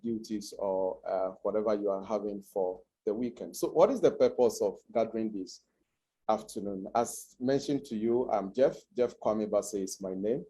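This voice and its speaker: A middle-aged man, slightly hoarse from a cold, presenting an online information session with many hesitant pauses. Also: on the low side, dark tone, poor audio. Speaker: guest presenter.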